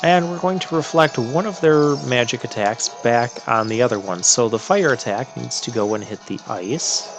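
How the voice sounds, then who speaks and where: A man's voice: medium pitch, normal speed, hardly any pauses. A man giving casual commentary as he plays through a video game. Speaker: video game playthrough host. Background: video game music.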